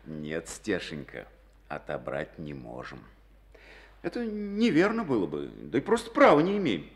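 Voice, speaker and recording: A man's voice: low-pitched, wildly swooping pitch, unhurried, exaggerated voice. An actor playing a tired, self-critical male district party chief who talks in a brooding, wry way in a Soviet radio play.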